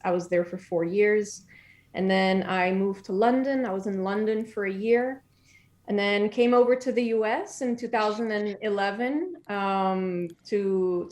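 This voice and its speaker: A woman's voice: medium pitch, darkish tone. Chatty woman in her twenties. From born in Germany, raised in France and Brazil. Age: 20s. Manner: chatty, frequent restarts, laughs easily. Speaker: podcast guest. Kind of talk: casual interview chat.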